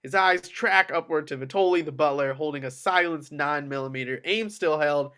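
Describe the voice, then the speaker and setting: A man's voice: fairly high pitch, normal speed, pushed and bright, clean tone. A man reading screenplay action lines as the narrator at a table read. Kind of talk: screenplay stage-direction narration.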